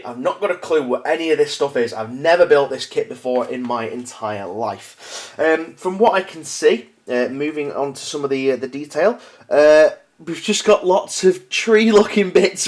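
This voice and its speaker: A young man with a cold, chatting casually and apologetically to camera, full of fillers and restarts. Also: a little high. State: stuffy with a cold. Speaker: miniatures hobby video host. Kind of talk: casual unboxing talk to camera.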